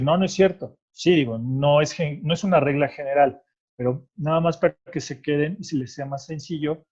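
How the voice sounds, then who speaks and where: A man's voice: mid-range voice, darkish tone, slightly rough, muffled recording. A man with a Mexican Spanish accent patiently explaining tax rules in conversation, checking often that he is understood. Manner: patient, explanatory, adds "¿ok?" after points. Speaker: tax expert guest.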